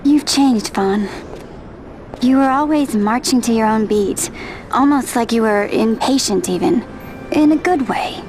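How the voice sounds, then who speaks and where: A woman playing a youthful, affectionate companion in a video game, gentle and lightly teasing. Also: on the high side, a little slow. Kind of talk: video game cutscene dialogue. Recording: music behind the voice.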